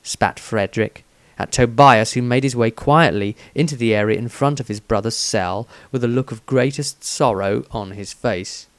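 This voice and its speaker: A man's voice: medium pitch, moderate pace, dry recording. A man reading a scripted fantasy story aloud as its narrator.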